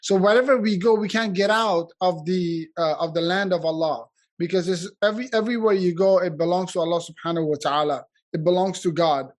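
Earnest halting man, slightly high voice. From Afghanistan. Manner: halting, many restarts and fillers, earnest. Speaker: community speaker. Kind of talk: unscripted speech at a community event.

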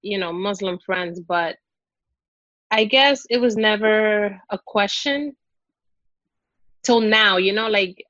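Hesitant, soft-spoken woman from the Dominican Republic. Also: mid-range voice, takes it slowly, pauses often, dry recording, highs cut off. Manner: hesitant, many fillers and restarts. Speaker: guest exploring Islam. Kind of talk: hesitant personal conversation.

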